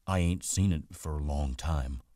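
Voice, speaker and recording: A man's voice: very deep voice, mellow, no room sound, studio quality. A man voicing a childlike, simple big man from a storybook, eager and easily panicked, with ungrammatical rustic speech. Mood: childlike delight. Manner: ungrammatical rustic speech, slow-witted and repetitive.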